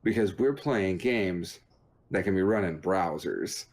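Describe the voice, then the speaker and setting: A man's voice: deep voice, animated, unhurried, a little mellow. A man co-hosting a gaming show in casual, playful, joking chat. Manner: jokey, playful.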